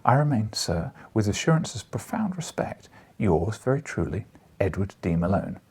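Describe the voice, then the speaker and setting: A man voicing a formal, flattering letter read aloud in an audiobook, pompous and deferential. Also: fairly low pitch, moderate pace, slightly rough, exaggerated voice. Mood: pompous flattery. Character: formal male letter-writer, flattering, earnest, quietly challenging.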